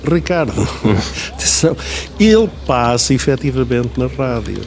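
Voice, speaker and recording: A man's voice: medium pitch, sing-song, unhurried, darkish tone, soft background music. A man playing a veteran radio personality, a fussy, pedantic grumbler with a European Portuguese accent, in a comedic radio drama. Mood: grumbling. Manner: pedantic, nitpicking, digressive. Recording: clearly noisy, room ambience.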